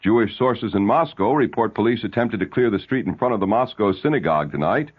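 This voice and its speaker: Man, American accent, low voice, radio news anchor, scripted delivery, dull 1970s AM recording. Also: animated, fairly quick, slightly rough.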